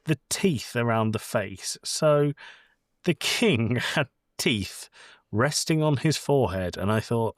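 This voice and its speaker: A man's voice: mid-range voice, no echo, clean studio sound. A man co-hosting a podcast in casual chat, playful and opinionated as he rambles along. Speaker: podcast co-host.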